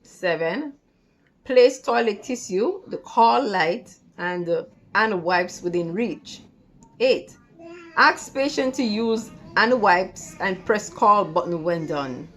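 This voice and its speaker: A woman reads through lesson material as a tutor would, at a slow pace and a mid-range pitch. She is deliberate and breaks the instructions into short, separate phrases, step by step. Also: animated, a bit bright. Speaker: nursing assistant tutor. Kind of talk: instructional read-along lesson.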